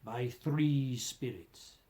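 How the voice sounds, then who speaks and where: A man's voice: mid-range voice, mellow, pitched below the performer's own voice. A man voicing the anguished, remorseful ghost of a dead businessman in a storybook reading, mournful and declamatory. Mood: solemn warning. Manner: slow, mournful, declamatory wailing.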